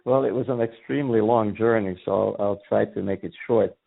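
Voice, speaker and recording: Gravelly low-voiced man from Yugoslavia. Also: normal speed. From Yugoslavia, in a Hungarian family. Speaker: guest, energy healer. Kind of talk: conversational storytelling in an interview. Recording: thin phone-like sound, faint music.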